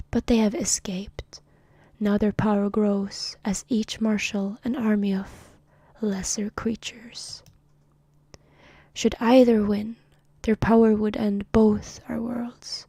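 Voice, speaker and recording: A woman voicing a solemn ancient male cosmic being in a hushed whisper, reading a comic aloud on a stream. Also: mid-range voice, dry recording. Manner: hushed whisper, formal speech about itself.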